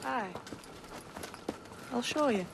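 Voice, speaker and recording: An actor playing a blunt, serious young assassin in a video game, with an earnest, colloquial way of talking. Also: male voice, extremely high pitch, expressive, very slow, vocal fry, background noise, full character voice. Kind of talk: cinematic video game acting. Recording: dramatic music behind the voice.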